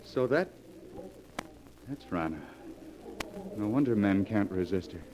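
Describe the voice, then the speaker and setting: A man playing an impulsive young fiancé in a melodramatic, expressive 1940s radio drama style. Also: fairly low pitch, a little slow, lo-fi sound. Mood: playful, intrigued. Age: young adult. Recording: faintly noisy 1940s radio recording.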